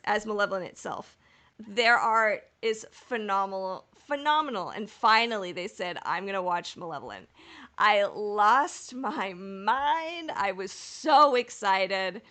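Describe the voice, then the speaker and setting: A woman's voice: medium pitch, lively intonation, unhurried, pushed and bright. A woman co-hosting a casual fan podcast, gushing and repeating words for emphasis. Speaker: podcast co-host.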